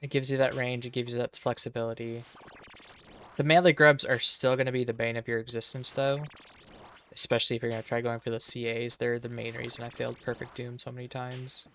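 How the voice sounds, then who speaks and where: Gravelly Minnesotan man, chatty. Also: medium pitch, level tone, a little fast, a bit bright, slight background noise. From Minnesota, USA. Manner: chatty, restarts mid-sentence. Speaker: streamer. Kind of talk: casual chat with viewers while gaming.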